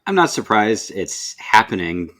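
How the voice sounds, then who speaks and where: A man co-hosting a podcast in casual chat, speaking in a measured, thoughtful way. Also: fairly low pitch, big pitch swings, fairly bright tone. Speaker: podcast co-host.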